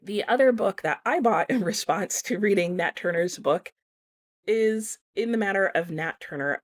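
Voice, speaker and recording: A woman giving a casual, conversational book review as a vlogger, talking informally with some hedging. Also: on the low side.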